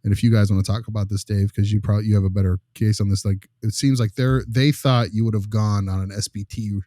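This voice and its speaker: Low-voiced, mellow man hosting a panel. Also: steady tone, quick pace, no echo, clean studio sound. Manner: informal, blunt, presses others with questions. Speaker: discussion host. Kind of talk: casual panel discussion of clinical cases.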